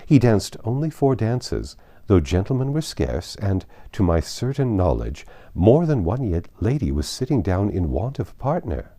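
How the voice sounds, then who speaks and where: A man voicing a witty, playful young gentlewoman in a storybook reading, with an arch, articulate manner. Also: fairly low pitch, sing-song, normal speed, few pauses, voice pushed up. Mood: teasing. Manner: arch, teasing, formally articulate.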